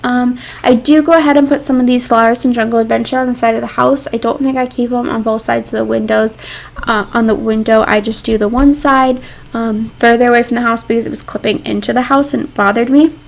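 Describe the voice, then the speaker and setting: A young woman talks through her build in a loose, rambling voice-over, full of ums and likes. She is congested, so she sounds stuffy and nasal. Her voice sits a little high and has a gravelly fry. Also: fairly even intonation, a little fast. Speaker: game builder narrating a build. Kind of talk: casual voice-over commentary.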